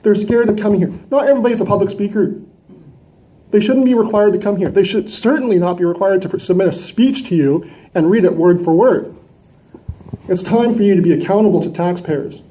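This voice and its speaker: A man's voice: high-pitched, brisk, dark tone, rough recording. A man giving an impassioned, combative public address, emphatic and full of rhetorical questions. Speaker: citizen delegate at a council meeting. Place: large indoor council chamber. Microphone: gooseneck microphone close to mouth.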